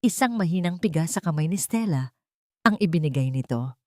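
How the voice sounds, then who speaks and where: A woman narrates a scripted drama in a somewhat low voice. Her delivery is solemn and melodramatic. The sound is very dry, with no room echo. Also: animated, brisk, a little mellow. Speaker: story narrator.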